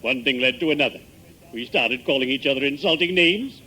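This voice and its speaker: An actor playing a pompous, morbidly genial middle-aged undertaker with genteel, mock-solemn diction in an old-time radio sitcom. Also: male voice, mid-range voice, fairly quick, bright, forceful tone, breathy edge. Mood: solemn, polite. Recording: noisy vintage 1950s radio broadcast.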